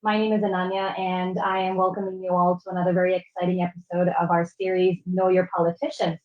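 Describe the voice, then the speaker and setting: A woman's voice: on the low side, completely flat delivery, fast. A young woman hosts an online interview show with an upbeat, eager voice. Her audio echoes around the room and sounds a bit dull and muffled, like a home video call. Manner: upbeat, enthusiastic. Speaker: host.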